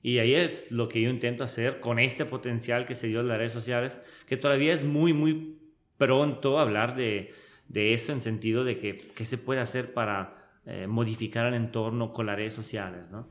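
A man. His voice sits at an ordinary middle pitch. He talks in a reflective, storytelling way, often pausing to hunt for the right word as he looks back on his life. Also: fairly even intonation. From Switzerland. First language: Italian. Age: early 30s. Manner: reflective, storytelling, searches for words. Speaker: guest. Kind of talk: conversational interview.

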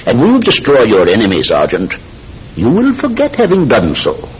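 An actor playing a sinister, controlled hypnotist posing as a polite tobacconist, with deliberate, theatrical delivery in an old-time radio drama. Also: male voice, medium pitch, huge pitch swings. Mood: commanding. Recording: muffled, hissy old radio recording.

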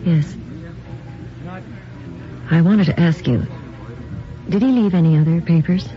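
A woman playing a brisk, direct and demanding female editor in a radio drama. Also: on the low side, lightly acted. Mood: probing. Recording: muffled lo-fi radio drama, faint music.

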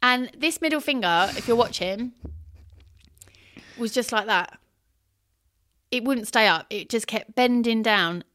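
Chatty bright-voiced woman. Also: fairly high pitch, a little slow, pauses often. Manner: chatty storyteller, laughs often, dramatic. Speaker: podcast co-host. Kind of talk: casual chat.

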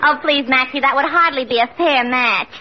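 An actress playing a flirtatious, quick-witted tavern woman, arch and coy, in a 1940s radio comedy. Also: high-pitched, lightly acted. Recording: noisy, dull vintage radio recording.

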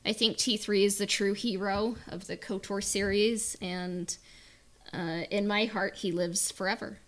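A woman's voice: medium pitch, steady tone, a little slow. A woman in casual discussion as a podcast panelist, giving opinions in a hesitant, hedging, informal way. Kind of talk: casual chat, opinion on a book.

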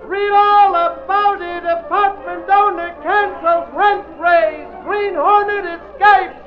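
A young paperboy in a 1940s radio serial, calling in an extremely high singsong. The recording is dull, with faint music behind. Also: male voice, steady tone, slow, a faint crowd. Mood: hawking. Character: young newsboy hawking papers in loud singsong. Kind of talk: 1940s radio drama acting.

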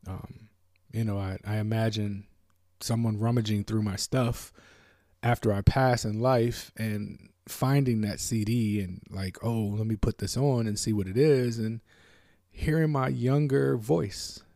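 A man from the United States hosting a podcast, talking alone in a reflective, halting way with many fillers and restarts. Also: fairly low pitch, fairly even intonation, dark tone, no echo, clean studio sound. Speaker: podcast host. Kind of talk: reflective solo talk.

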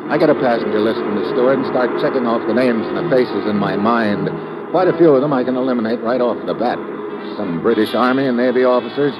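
A man's voice: medium pitch, fast talker, muffled recording, lightly acted. An actor playing a tough, wry American agent who narrates his case in a clipped, dry manner, in 1950s radio adventure style. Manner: clipped, hard-boiled, dry. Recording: vintage radio recording with music behind.

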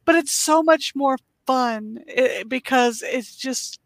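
Chatty woman host, middle-aged, upbeat, kinda high voice. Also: takes it slowly, no echo. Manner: bubbly, laughs often. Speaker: podcast host. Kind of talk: casual interview chat.

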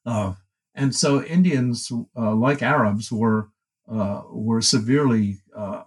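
A man's voice: medium pitch, slow, slightly rough, low-quality recording. A man answering questions about a book he edited, talking in a hesitant, thoughtful way with many fillers and restarts. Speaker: book editor, interviewee. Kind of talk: academic discussion.

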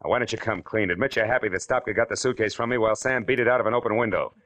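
An American actor playing a wry, hard-boiled private detective in a 1940s radio drama, sardonic and slangy in clipped phrases. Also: male voice, fairly low pitch, racing, a bit bright, close to an everyday voice. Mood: sarcastic, pressing. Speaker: lead actor and narrator. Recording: vintage radio drama with music behind.

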